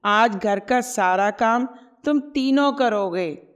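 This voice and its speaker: A woman's voice: on the high side, slow, clean tone, muffled recording. A woman voicing a stern, protective middle-aged mother-in-law who scolds and commands. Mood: angry.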